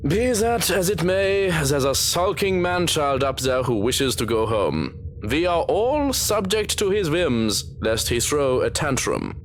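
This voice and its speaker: A man's voice: fairly high pitch, very animated, a little slow, a little hiss or hum. An actor playing a formal, mannered male companion in a video game, speaking in old-fashioned phrasing with a dry, sardonic edge. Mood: wry. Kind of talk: voiced video game dialogue.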